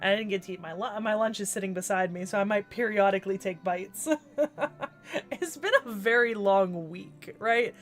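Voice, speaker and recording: A woman's voice: medium pitch, moderate pace, soft background music. Congested woman rambling on a game stream. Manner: rambling and chatty, with many restarts and casual swearing. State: stuffy and congested from a cold. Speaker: streamer playing a video game. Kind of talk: casual livestream chat while gaming.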